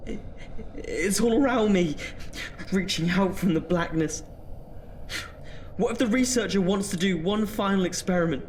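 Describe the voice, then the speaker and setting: Horror audio drama: high-pitched, agitated man trapped in darkness, dreading what is near. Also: a little hiss or hum. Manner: fearful, agitated, suspenseful. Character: terrified male experiment subject alone in the dark.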